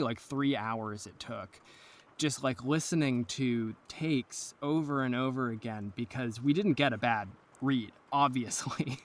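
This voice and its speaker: A man talking casually in an interview as an audio drama producer explaining the work. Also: medium pitch.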